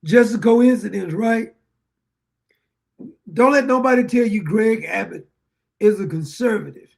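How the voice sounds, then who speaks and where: A man hosting a political talk show, ranting bluntly and rambling, his voice going hoarse as he keeps clearing his throat. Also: sits high, animated, a little slow. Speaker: show host. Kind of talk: political commentary monologue.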